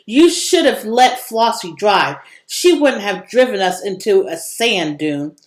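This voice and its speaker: A woman's voice: mid-range voice, animated, slow. A woman voicing an irritable boy in a storybook, grousing and firm, in an echoey room. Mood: angry.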